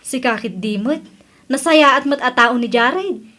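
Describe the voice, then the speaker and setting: An actress plays a young Filipino co-worker with a very high voice. She is chatty and concerned about her friend, in the style of a radio comedy drama. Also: unhurried, bright, forceful tone, exaggerated voice. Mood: teasing. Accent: Philippine.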